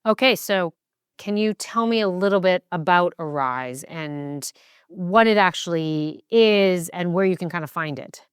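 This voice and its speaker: A woman hosts an interview podcast in a slightly low, clean voice. She puts questions conversationally, and the sound is dry and close. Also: animated, unhurried, smooth, dry recording. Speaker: podcast host.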